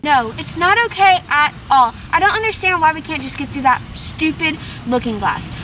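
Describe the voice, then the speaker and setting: An actress playing a spoiled, demanding fairytale princess, whiny and petulant, in amateur stage comedy. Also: female voice, sits high, expressive, moderate pace. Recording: distant mic, clear outdoor noise.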